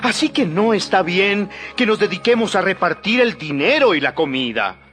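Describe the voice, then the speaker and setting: An actor playing an earnest apostle leader, declaiming deliberately to his followers in a dramatized Bible recording. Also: high-pitched, animated, fairly bright tone. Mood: earnest, instructing. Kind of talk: dramatized Bible audio acting. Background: music.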